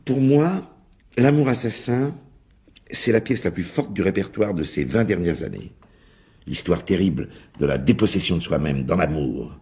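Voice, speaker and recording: Bossy theatre director, swooping low voice. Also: male voice, expressive, darkish tone. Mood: effusive, instructive. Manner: theatrical, patronising endearments, briskly commanding. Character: bossy, effusive theatre director rehearsing his actors. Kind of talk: French radio drama acting.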